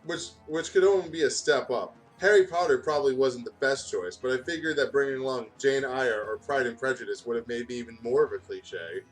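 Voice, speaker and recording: A man's voice: medium pitch, fairly quick, few pauses. A man doing a casual read-aloud of fan fiction, stumbling over words and breaking off to comment. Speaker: gaming video host reading fan fiction aloud. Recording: music playing behind the voice. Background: music.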